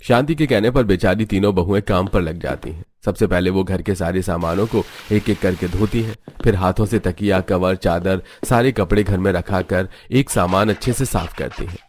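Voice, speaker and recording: A man's voice: low voice, normal speed, hardly any pauses, a little mellow, slight background noise. A man giving scripted narration of a story as its narrator.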